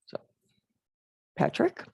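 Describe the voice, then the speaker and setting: A man's voice: very slow, a little mellow, no room sound, clean studio sound. A man co-presenting an instructional session over a video call. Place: video call with screen share.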